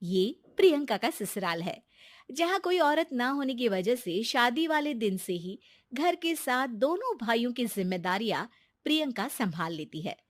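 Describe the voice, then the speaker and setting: A woman's voice: fairly high pitch, sing-song, moderate pace, no room sound, clean studio sound. A woman narrator reading a scripted cartoon moral story.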